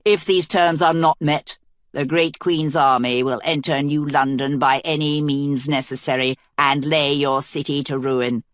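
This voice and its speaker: A woman reading aloud, voicing a formal male herald who delivers an enemy queen's terms slowly. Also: sits low, fairly even intonation, no echo, clean studio sound, pitched below the performer's own voice. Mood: pompous. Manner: slow, pompous proclamation.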